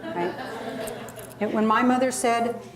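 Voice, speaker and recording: A woman's voice: a little high, slow, crowd noise. A woman leading an interactive workshop as a public speaking coach, talking emphatically and conversationally and putting questions to her audience. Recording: live room recording with clear background noise.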